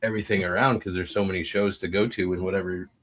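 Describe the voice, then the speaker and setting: A man in casual, informal podcast conversation, quick to chuckle. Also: sits low, fairly even intonation, fairly bright tone, a little hiss or hum. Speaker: podcast panelist.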